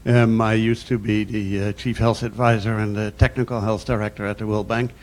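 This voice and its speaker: An older man from the Netherlands with a Dutch accent, making a formal, measured comment from a conference audience. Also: on the low side, little pitch movement, a little mellow. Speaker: audience member, committee chairman.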